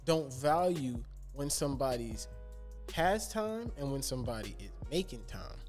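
A man's voice: mid-range voice, expressive, normal speed, a little mellow, faint music behind. A man, speaking emphatically and stumbling over repeated words as he makes his point. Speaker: podcast co-host. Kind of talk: casual chat.

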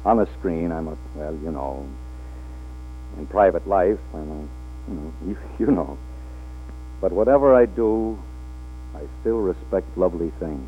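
An actor playing a hard-drinking, flirtatious male film star in a 1940s radio drama, halting and repeating his words. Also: low-pitched. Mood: tender, halting. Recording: old 1940s radio, tinny with hum.

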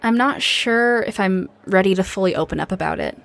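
A woman's voice: medium pitch, creaky, natural voice. Audio drama role, female actor: grieving young woman survivor, self-deprecating, hesitant, understated and natural. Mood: subdued, hesitant. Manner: hesitant, halting.

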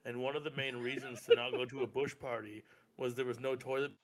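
Stoned host who jokes at his own expense; mid-range pitch. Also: fairly quick, poor audio. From Canada (Welland, Niagara). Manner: self-deprecating, jokey, quotes himself. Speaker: podcast host. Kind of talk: casual podcast chat.

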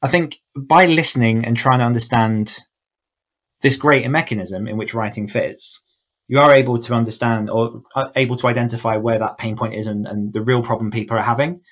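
A man's voice: mid-range voice, steady tone, a little fast, fairly bright tone. A man speaking as an author coach in a conversational podcast interview, explaining informally with plenty of "like" and "you know". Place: indoors, in a room at home, online call.

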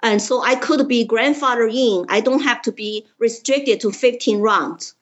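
A woman with a Chinese accent tells her story in a slightly high voice, earnest and forceful in her emphasis. The audio is dull and lo-fi, as if muffled. She speaks in plain, direct sentences. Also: measured pace. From China. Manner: earnest, emphatic, simple grammar. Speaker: political candidate, guest. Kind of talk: interview storytelling.

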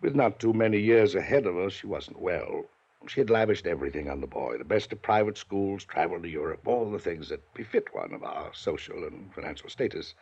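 Stuffy rich old uncle, gravelly husky voice, swings all over in pitch, dull old radio sound. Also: male voice, expressive, brisk, a little mellow, heavily acted. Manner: pompous, clipped, rises to angry lecturing. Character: wealthy domineering older uncle, pompous and stern. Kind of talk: 1950s radio acting. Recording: dull lo-fi 1950s radio broadcast.

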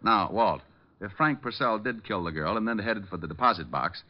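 Casting: man to play a witty, unruffled private investigator, moderately low voice, old radio mystery, muffled recording. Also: expressive, natural voice. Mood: assured. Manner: wry, unhurried, self-assured. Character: suave, quick-witted male private detective. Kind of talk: 1950s radio detective drama acting. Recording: dull vintage radio recording.

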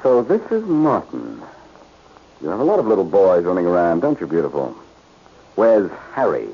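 An actor playing a cocky, sardonic, wisecracking amateur detective in a 1940s radio drama. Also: male voice, low voice, wildly swooping pitch, normal speed, breathy edge, heavily acted. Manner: wisecracking, needling. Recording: muffled old-time radio recording.

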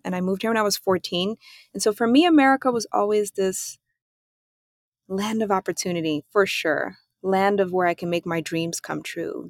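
A woman from Germany hosting her own podcast, talking through her thoughts in a calm, reflective solo monologue. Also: mid-range voice, no room sound, clean studio sound. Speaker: podcast host.

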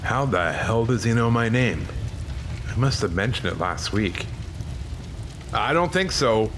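A man's voice: fairly low pitch, animated, unhurried, background noise. A man playing a tense police officer in an audio drama, coaxing and urgent as he negotiates. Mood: thinking, uneasy. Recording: music and rain under the voice.

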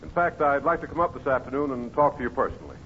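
An actor playing a polite, businesslike sales manager in a 1940s radio comedy, on a scratchy, tinny recording. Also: male voice, medium pitch, fast, close to an everyday voice. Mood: enthusiastic.

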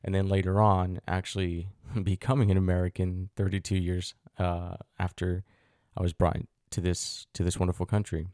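Deep-voiced reflective man hosting a podcast. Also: flat delivery, moderate pace, a little mellow, no room sound, clean studio sound. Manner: thoughtful, poses rhetorical questions, frequent fillers. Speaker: podcast host. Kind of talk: reflective solo podcast monologue.

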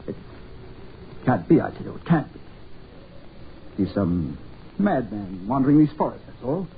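A man's voice: medium pitch, big pitch swings, normal speed. An actor playing an alarmed, impatient man in a 1940s radio horror drama, urgent and exclamatory with stammered repetitions. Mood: disbelieving, agitated. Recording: noisy, tinny 1940s radio recording.

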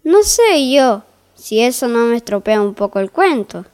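A woman's voice: on the high side, sing-song, unhurried, darkish tone, soft background music. A woman playing a mild, easily flustered fairy-tale narrator in a comic audio story, hesitant and accommodating.

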